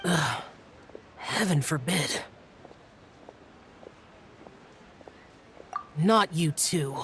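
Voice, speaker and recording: Curt, youthful stoic immortal. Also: male voice, fairly high pitch, lively intonation, slow pace, a bit bright, slight background noise, exaggerated voice. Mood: exasperated. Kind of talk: video game cutscene acting.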